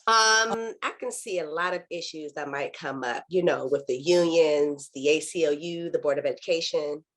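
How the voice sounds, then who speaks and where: A woman's voice: low-pitched, moderate pace. A woman playing a cautious, skeptical teacher with a hesitant, questioning manner in a table read.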